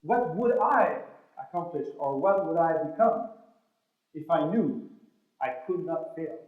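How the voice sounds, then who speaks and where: A man's voice: fairly high pitch, unhurried, narrow, tinny audio. A man with a French-Canadian accent, a native French speaker, giving a motivational keynote speech and acting out his stories with animation. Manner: animated storytelling, acting out dialogue. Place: large room, speaking to a live audience. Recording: very echoey hall sound.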